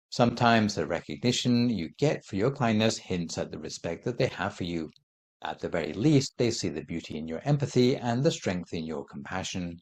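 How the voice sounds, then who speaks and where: Calm, measured male monk giving a talk. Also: lowish voice. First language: not Thai. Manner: measured, gently explanatory.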